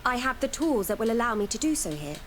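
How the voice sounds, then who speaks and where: A woman's voice: on the high side, big pitch swings, fast talker, fairly bright tone, a little hiss or hum. A woman playing a guarded, dutiful scientist troubled by guilt, in serious video game dialogue. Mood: grave.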